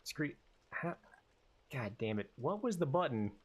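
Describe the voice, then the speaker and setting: A man's voice: mid-range voice, very animated, unhurried. A man casually commentating his gameplay, cursing and rambling with self-mocking jokes. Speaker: let's player.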